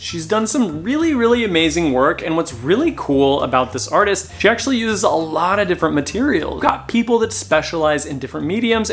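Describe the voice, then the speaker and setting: A man in his thirties filming a casual haul vlog in a cluttered storage room, talking straight to the camera in an animated, self-mocking way. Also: fairly high pitch. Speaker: reseller vlogger.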